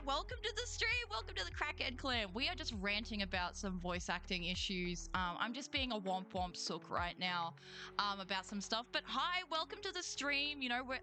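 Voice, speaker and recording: A woman's voice: mid-range voice, sharp tone. A young Australian woman streamer and voice actor, ranting casually to chat with lots of slang and swearing. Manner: swears freely, slangy, quick emotional swings. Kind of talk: casual livestream rant and chat reading.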